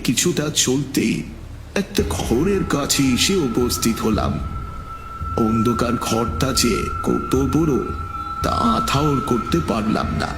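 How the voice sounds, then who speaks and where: A man's voice: mid-range voice, expressive, slow, over music. A man telling a scripted ghost story in the first person, in a suspenseful, dramatic storytelling manner.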